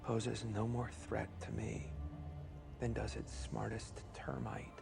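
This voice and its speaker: A man playing a calm, dismissive superhuman who speaks with detached deliberation. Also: lowish voice, slow, creaky, heavily acted. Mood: coldly dismissive. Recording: loud music behind the voice.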